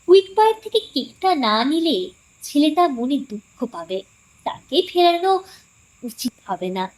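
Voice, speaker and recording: A woman narrates a scripted story for an audio drama. Her voice is high, and her intonation moves widely as she tells it. The delivery is that of a dramatic storyteller. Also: sing-song, unhurried, a little mellow, low-quality recording.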